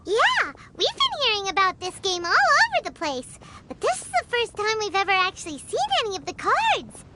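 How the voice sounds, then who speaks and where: A woman voicing a tiny, chirpy, curious fairy companion with a childlike, squeaky voice in a video game. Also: wildly swooping pitch, normal speed, very noisy, full character voice. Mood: excited, curious. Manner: exclamatory, chatty. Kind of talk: video game character acting. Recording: music playing behind the voice.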